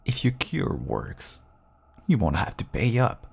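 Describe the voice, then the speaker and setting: A man acts out a feral vampire husband in an intimate audio drama. His voice is husky and full of fry, and he takes his time over every taunting endearment. He sounds hungry, sly and manipulative. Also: on the low side, wildly swooping pitch, heavily acted. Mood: smug, taunting. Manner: slow, taunting, drawling endearments. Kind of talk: intimate audio roleplay acting.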